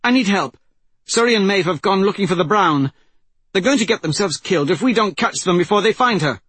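A man's voice: a little high, lively intonation, moderate pace, fairly bright tone, slightly rough, dry recording, pitched above the performer's own voice. A man voicing a fierce, forthright woman in an audiobook, speaking urgently and emphatically. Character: fierce, indignant woman leading a rescue.

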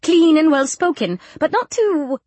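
A woman's voice: sits high, lively intonation, measured pace, a little mellow, no room sound, pitched above the performer's own voice. A woman voicing a scowling, strict older matron in an audiobook, prim, clipped and critical. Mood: brisk, critical. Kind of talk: audiobook character dialogue.

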